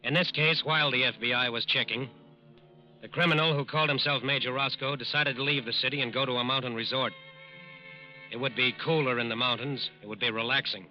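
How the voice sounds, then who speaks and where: A man's voice: medium pitch, fairly even intonation, a little fast. A man narrating a 1940s radio crime drama from a script, emphatic and dramatic. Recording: dull old radio recording. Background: music.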